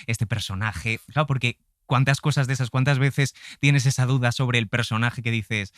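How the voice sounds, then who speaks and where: A man's voice: medium pitch, moderate pace, no echo, studio quality. A man hosting a conversational interview, building up his points in a wordy, circling way. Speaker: podcast interviewer.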